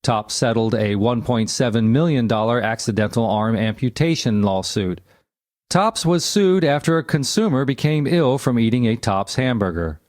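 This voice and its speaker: A man narrating an encyclopedia article aloud in a measured, factual, scripted delivery. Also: medium pitch, expressive, darkish tone, no room sound, studio quality.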